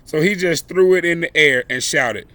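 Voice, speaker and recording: A man reading a children's picture book aloud as a storyteller, with dramatic, deliberate phrasing. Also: fairly high pitch, sharp tone.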